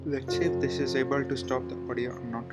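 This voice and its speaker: A man giving an informal programming walkthrough, thinking aloud in a hesitant, halting way as he works. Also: mid-range voice, flat delivery. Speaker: coding tutorial presenter. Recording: loud music playing behind voice.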